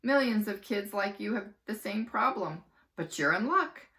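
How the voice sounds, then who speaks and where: A woman's voice: medium pitch, expressive, moderate pace, sharp tone, pitched above the performer's own voice. Miss Laney, a woman reading as a zany speech teacher. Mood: chirpy sales pitch.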